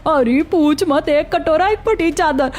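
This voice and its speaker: A woman's voice: high voice, unhurried, darkish tone. A woman voicing a mocking, gossipy middle-aged neighbour in a cartoon story. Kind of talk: Hindi cartoon story voice acting.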